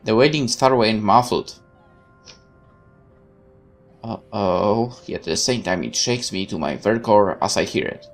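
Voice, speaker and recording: Really deep voiced lady doing a lets play, reading visual novel story out loud w little asides, faint music behind. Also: lively intonation, normal speed, long pauses. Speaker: let's play host.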